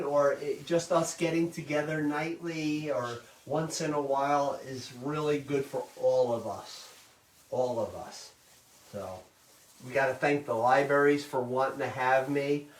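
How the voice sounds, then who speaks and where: Loud chatty man in an echoey kitchen. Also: medium pitch. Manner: loud, chatty, says "okay?" often. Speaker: cooking instructor. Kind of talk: live virtual baking class. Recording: roomy kitchen sound, mic at a distance.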